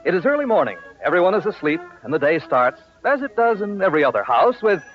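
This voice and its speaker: A man's voice: sits high, big pitch swings, fast talker, soft background music. A man announcing and narrating a vintage radio comedy in a scripted style. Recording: muffled old radio recording, faint noise.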